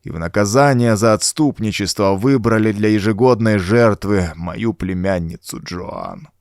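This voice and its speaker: A man's voice: fairly low pitch, normal speed, a little mellow, dry recording, studio quality, lightly acted. A man voicing a dying old former cultist, groaning out a desperate, laboured confession for a horror audiobook. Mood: grim, confessing. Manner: groaning, laboured, dramatic delivery. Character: dying old male renegade cultist confessing in dread and agony. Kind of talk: acted audiobook dialogue.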